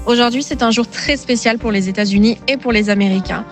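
A woman in her thirties from France, giving a clear, steady testimony as an interviewee. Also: on the high side, brisk, faint music behind. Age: 30s.

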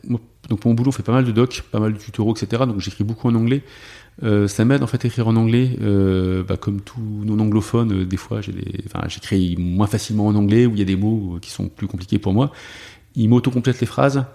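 Guest dev, male, low mellow voice with fry, hesitant delivery full of fillers. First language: French. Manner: hesitant, many fillers and restarts. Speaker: guest, software developer. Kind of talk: casual conversational interview.

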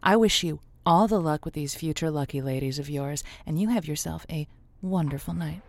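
A woman's voice: deep voice, normal speed, no room sound. A woman playing a guarded but kindly host of a lonely hearts radio call-in, speaking hesitantly and encouragingly in an audio drama. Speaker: radio advice show host.

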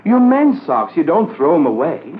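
Folksy small-town shopkeeper, wordy and apologetic, animated pitch, thin vintage radio sound. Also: male voice, normal speed. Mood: earnest, hesitant. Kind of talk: 1950s radio drama acting. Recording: lo-fi 1950s radio recording, faint noise.

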